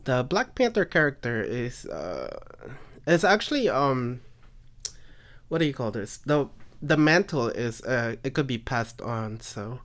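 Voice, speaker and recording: A man hosting a casual card collecting video, congested and phlegmy, clearing his throat and talking hesitantly with lots of ums and uhs. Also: mid-range voice, sing-song, measured pace, a bit bright. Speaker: card collecting channel host. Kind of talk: casual card review vlog.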